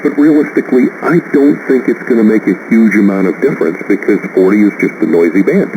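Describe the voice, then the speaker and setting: A man explaining things in a measured, opinionated way in a casual amateur radio chat, heard over shortwave. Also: fairly low pitch, warm tone. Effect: shortwave radio transmission. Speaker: amateur radio operator giving technical advice. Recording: hissy, noisy shortwave radio reception. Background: radio static and hiss.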